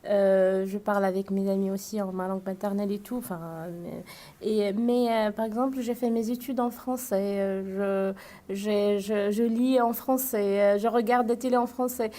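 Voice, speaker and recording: A woman student, a native Arabic speaker, answering an interview question in a halting way. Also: medium pitch, steady tone, dark tone. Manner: halting, many restarts and fillers. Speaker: student guest.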